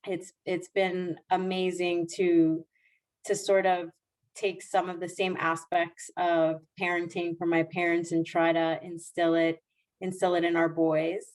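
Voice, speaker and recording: Woman, 40s, lowish voice, earnest podcast guest on a remote call, lots of restarts. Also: level tone, a little slow, poor audio. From Dominican Republic. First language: Spanish. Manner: warm, earnest, frequent restarts and soft laughs. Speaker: guest, diversity executive. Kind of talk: podcast interview.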